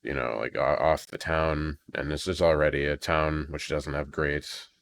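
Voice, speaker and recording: A man's voice: a bass voice, flat delivery, normal speed, fairly bright tone. An American man recording a casual, unscripted audio diary at home, rambling hesitantly in a resigned way, with crackling in the recording. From Maine, United States. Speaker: video creator recording an audio log. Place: at home at a computer.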